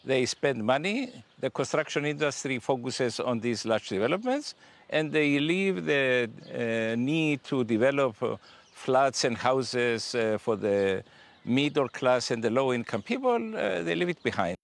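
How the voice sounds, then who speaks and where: A man giving an unscripted interview answer in a halting, hesitant way. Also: mid-range voice, animated.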